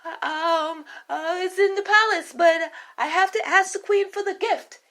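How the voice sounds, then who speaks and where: A woman's voice: very high-pitched, unhurried, fairly bright tone, pitched above the performer's own voice. A woman voicing a nervous male royal cook who stammers and hesitates in a storybook tale. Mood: flustered.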